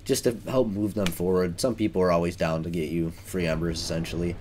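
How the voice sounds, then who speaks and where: A man with a low-pitched voice talks through gameplay in a casual, rambling way. His delivery is wry and informal, like a let's player narrating as he goes. There is clear noise behind him, with game ambience. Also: steady tone, fairly quick, a little mellow.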